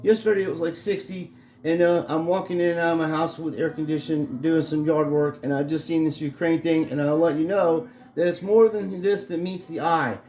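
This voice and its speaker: Hoarse, profane man ranting at home. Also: on the high side, fairly even intonation, normal speed, a little mellow, reverberant. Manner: angry, profane, rambling rant. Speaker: vlogger. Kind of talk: ranting vlog. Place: his home in Florida.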